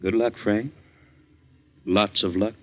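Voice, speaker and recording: An actor playing a well-to-do, easily shaken husband with an American accent in a 1940s radio drama, earnest and halting. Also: male voice, lowish voice, fairly even intonation. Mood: subdued. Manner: earnest, halting when troubled. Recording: muffled 1940s radio recording.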